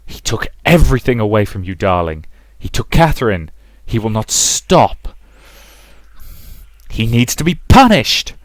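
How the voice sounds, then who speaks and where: A man voicing a stern, bitter, vengeful mother in a visual novel, commanding and deliberate. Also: lowish voice, big pitch swings, no echo.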